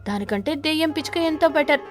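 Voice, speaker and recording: A woman voicing a frightened, evasive crow husband in an animated children's story, nervous and earnest. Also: high-pitched, fast talker, faint music behind, voice pushed up. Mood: panicky.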